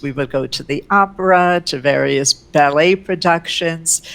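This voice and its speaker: A woman whose first language is Ukrainian, speaking as an interview guest with effusive enthusiasm and frequent fillers, recorded in a room at home. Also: deep voice. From Canada and the US. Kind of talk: conversational interview. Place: a room at home with her oil paintings.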